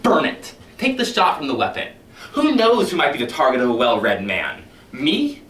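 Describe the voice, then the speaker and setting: A man performing a cynical middle-aged fire chief in a competition monologue, lecturing his firemen with sarcasm and swinging between loud and hushed delivery. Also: fairly high pitch, sing-song, moderate pace, pitched above the performer's own voice. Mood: sardonic. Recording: echoey hall heard from far off, faint hiss.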